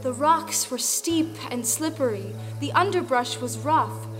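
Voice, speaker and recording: Female storyteller for folktale audio play, high-pitched, over soft music. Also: animated, a little slow. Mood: storytelling. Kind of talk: scripted dramatic folktale narration. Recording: music behind the voice.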